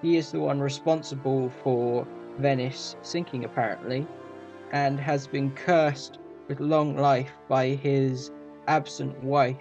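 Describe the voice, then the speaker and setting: Male reviewer, raised pitch, informal scripted delivery in broken phrases, lo-fi sound, soft music behind. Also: fairly even intonation, takes it slowly. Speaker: audio drama reviewer. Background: faint music.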